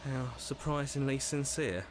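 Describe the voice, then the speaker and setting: An actor playing anxious, sarcastic inner voices in a game, speaking in a dramatic, questioning way. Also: male voice, medium pitch, measured pace, a little hiss or hum, natural voice. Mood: grim, wry.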